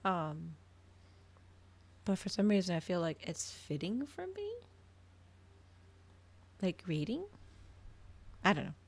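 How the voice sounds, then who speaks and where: A woman livestreamer chatting casually in a soft ASMR style, often dropping toward a whisper. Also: fairly low pitch, sing-song, slow pace, pauses often. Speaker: livestreamer hosting an ASMR reading stream.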